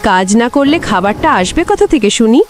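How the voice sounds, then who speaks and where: A woman's voice: fairly high pitch, big pitch swings, quick pace, faint music behind. A woman voicing a bossy, nagging village wife in a cartoon, exasperated with her lazy husband. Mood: scolding. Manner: nagging, sharp-tongued. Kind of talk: cartoon voice acting.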